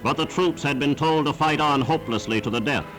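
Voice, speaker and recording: A man's voice: fairly high pitch, a little fast, fairly bright tone. A man narrating a scripted 1940s wartime newsreel in a formal, emphatic, declamatory manner, on a vintage soundtrack. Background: orchestral newsreel music.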